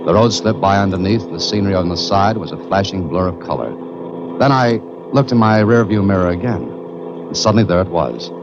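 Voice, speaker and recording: A breezy adventurer hero of vintage radio drama, speaking in a deep, polished voice with wry curiosity. His delivery swings expressively through a dull, noisy old recording. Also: lively intonation, brisk. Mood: intrigued, narrating. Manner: wry, bantering, polished storytelling. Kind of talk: 1940s radio drama acting with first-person narration.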